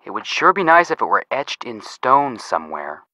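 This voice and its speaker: A man's voice: medium pitch, big pitch swings, moderate pace, bright, forceful tone, dry recording. A young man with an American accent playing a cheeky, casual amateur detective who jokes as he gives video game hints. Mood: cheeky, joking. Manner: joking, casual, slangy. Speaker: detective helper giving hints. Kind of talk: scripted video game voice acting.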